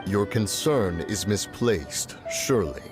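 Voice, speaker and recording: A man voicing a pompous, unhurried immortal adept with stately, archaic diction in a video game. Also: fairly low pitch, lively intonation, music in the background. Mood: musing, calm.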